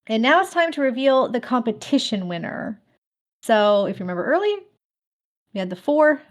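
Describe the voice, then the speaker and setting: A woman hosting a fan event, announcing casually in a chatty way and laughing often. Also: on the high side. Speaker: host of an online fan event.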